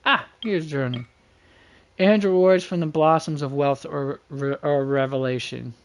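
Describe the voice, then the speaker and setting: A man's voice: on the high side, measured pace, a little hiss or hum. A man doing casual livestream game commentary, thinking aloud and muttering to himself in a folksy, slangy way. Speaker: game streamer.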